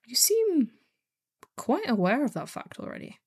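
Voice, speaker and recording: Woman acting as a snarky, bored kidnapper, lively pitch. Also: unhurried, slightly rough, full character voice. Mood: wry, nonchalant. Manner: snarky, casual, bored drawl with sighs. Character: snarky, bored, heartless female kidnapper for hire. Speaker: voice actor. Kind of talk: scripted one-sided audio roleplay drama.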